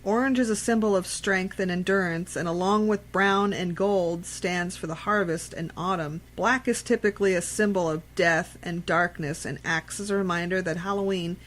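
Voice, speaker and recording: A woman's voice: on the low side, fairly even intonation, normal speed, hardly any pauses. A woman co-hosting a radio show, reading out trivia and chatting casually about it.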